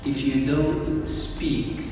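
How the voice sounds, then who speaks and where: An Algerian man, a native Arabic speaker, speaks slowly and deliberately, pausing and restarting as he answers. He sounds far from the mic in a strongly echoing room with a long reverb tail and a faint hiss behind him. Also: a little high, flat delivery, darkish tone, faint music behind. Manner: slow, measured, with many pauses and restarts. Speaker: English teacher, guest. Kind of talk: interview answers.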